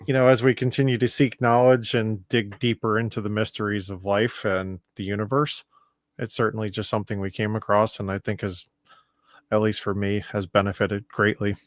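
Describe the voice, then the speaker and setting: A man with a fairly low voice, talking as a guest in a casual interview. He speaks in a relaxed, measured way, and the sound is dry and close. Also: no room sound. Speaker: podcast guest.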